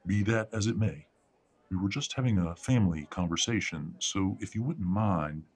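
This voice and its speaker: Man playing a deep, husky, menacing patriarch. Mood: insistent. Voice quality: husky, breathy. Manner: smug, deliberate, condescending. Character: menacing, controlling werewolf patriarch, middle-aged or older father. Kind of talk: audio drama acting.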